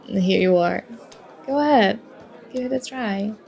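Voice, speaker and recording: A woman's voice: mid-range voice, unhurried, vocal fry. A woman playing a flirty, charming stranger at a party bar in an ASMR roleplay, teasing and coy as she talks. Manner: playful, teasing, coy, mock-apologetic. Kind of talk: ASMR roleplay, acted one-sided conversation. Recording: soft music and party chatter behind. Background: music and crowd murmur of a party bar.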